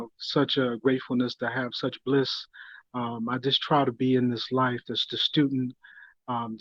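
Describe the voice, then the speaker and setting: A man being interviewed, telling his life story earnestly in a halting way full of fillers and restarts. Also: mid-range voice, no room sound, lo-fi sound. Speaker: guest. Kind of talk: reflective conversational storytelling.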